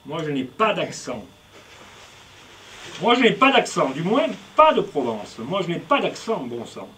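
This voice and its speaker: A man's voice: fairly high pitch, big pitch swings, unhurried, sharp tone, a little hiss or hum. A man performing punning, rhythmic spoken-word poetry on stage, recorded live. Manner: playful punning, declamatory and rhythmic. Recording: distant live recording with music.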